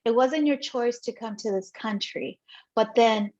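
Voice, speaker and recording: A woman's voice: a little high, unhurried, a little mellow. A woman hosting a podcast, speaking encouragingly and laughing often as she asks questions. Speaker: podcast host. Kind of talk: conversational interview. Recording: dull lo-fi sound.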